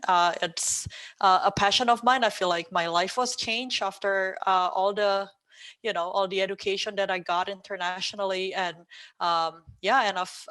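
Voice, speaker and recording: A woman, a podcast co-host talking about her own path in a casual interview, thoughtful and pausing often with ums. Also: medium pitch, fairly bright tone. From Indonesia. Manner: thoughtful, hesitant with frequent ums.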